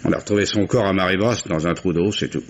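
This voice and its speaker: An actor playing a gruff, guarded old oyster fisherman in a 1950s radio drama, talking in a folksy, grumbling way. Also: low voice, fast. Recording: muffled old radio recording with faint hiss.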